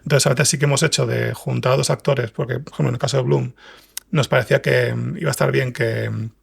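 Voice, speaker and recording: A man's voice: mid-range voice, steady tone, fast talker, gravelly, breathy edge. A man with an accent from Spain explaining things casually as an interview guest, often rephrasing himself. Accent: Spanish from Spain. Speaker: guest, audio fiction writer and director.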